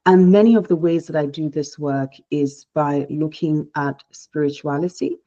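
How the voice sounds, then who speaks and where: A woman from Nigeria whose first language is Igbo, giving a measured, reflective talk on a panel, often restarting her sentences. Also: sits low, fairly even intonation, mellow, muffled recording. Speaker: panel speaker, researcher.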